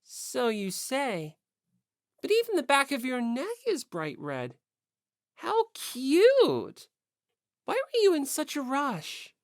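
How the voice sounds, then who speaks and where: A woman plays a brash teenage schoolgirl model in an audiobook, full of herself and fond of teasing. Her pitch swings widely between cutesy and scornful. The sound is very dry. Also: wildly swooping pitch, takes it slowly, no room sound, full character voice.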